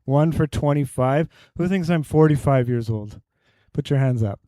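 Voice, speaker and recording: A man at a presenter's mic gives a health talk to a live audience and quizzes the room with questions. His voice is mellow and warm, and the sound is dry and close with little room echo. He speaks at an average pitch and explains through simple analogies. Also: moderate pace.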